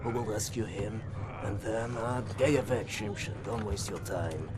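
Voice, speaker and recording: An actor playing a wry, learned prisoner inventor in a video game, musing and wordy, secretive and philosophical. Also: male voice, lowish voice, unhurried, background noise, natural voice. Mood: wry, guarded. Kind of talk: video game cutscene acting.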